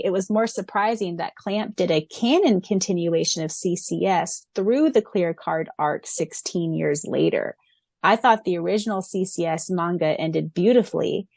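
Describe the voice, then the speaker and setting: A woman's voice: on the low side, creaky, a little mellow, dry recording. Giggly woman podcaster with a dry close sound. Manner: giggly, laughs often between remarks. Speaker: podcast co-host. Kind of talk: casual fan podcast chat, reading questions aloud.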